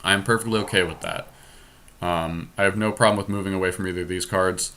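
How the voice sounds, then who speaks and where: A man's voice: deep voice, fairly even intonation, quick pace, pushed and bright. A man hosting a casual card game podcast, thinking aloud and explaining his choices with opinionated enthusiasm.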